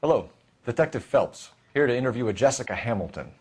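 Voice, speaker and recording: An actor playing an earnest, businesslike young police detective who questions formally and firmly in a video game drama. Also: male voice, medium pitch, expressive, fast, a little mellow, breathy edge. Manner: formal, clipped, firm questioning.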